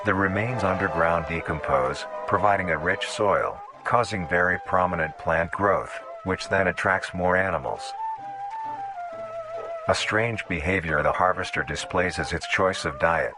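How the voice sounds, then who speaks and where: A man reading scripted nature documentary narration in a measured, formal, instructional manner. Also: sits low. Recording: voice over background music.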